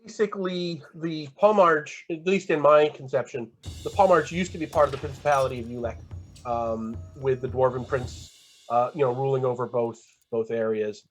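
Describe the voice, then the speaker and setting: A man in his fifties, a guest author, explaining lore in a measured, hesitant way with many fillers. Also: mid-range voice, faint music behind. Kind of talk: casual chat, explaining lore.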